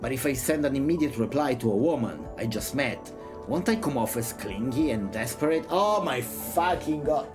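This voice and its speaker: Man, very lively pitch, theatrical read-aloud, irreverent asides, loud-and-clear music behind. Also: moderate pace. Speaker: streamer reading a visual novel aloud. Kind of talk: expressive read-aloud with side remarks.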